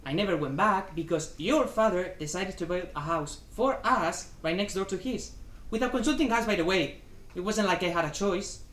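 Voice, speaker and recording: A woman's voice: lowish voice, moderate pace, fairly bright tone, natural voice. A woman acting a proud, stubborn Cuban husband in a dramatic play reading, emphatic and domineering, with Spanish endearments mixed in. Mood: defensive. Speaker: student actor. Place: indoor room, live play reading. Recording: echoey room with faint background noise.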